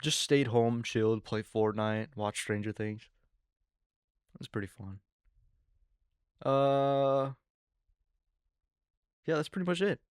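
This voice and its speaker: An eighteen-year-old man hosting his own podcast, rambling casually with lots of fillers and excited asides. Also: medium pitch, smooth, no room sound, studio quality. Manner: rambling, filler-heavy, excitable teen talk. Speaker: podcast host. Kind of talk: casual solo podcast chat.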